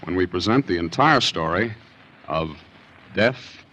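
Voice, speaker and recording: An actor playing a wry, sinister sergeant who hosts a 1940s radio mystery show in a teasing, theatrical manner. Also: male voice, low-pitched, expressive, measured pace, pushed and bright, exaggerated voice. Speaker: host. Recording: muffled old radio with music behind. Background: music.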